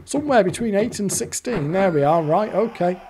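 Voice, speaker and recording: A hoarse British man giving rambling, exasperated commentary while playing a fruit machine, swearing often. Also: sits high, lively intonation, normal speed, noisy background. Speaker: video host.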